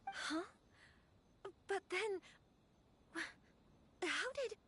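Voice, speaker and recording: An actress playing a gentle young noblewoman in a video game, speaking politely, earnestly and with some hesitation. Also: very high-pitched, lively intonation, drawn-out words, exaggerated voice. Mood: flustered. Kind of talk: scripted video game voice acting.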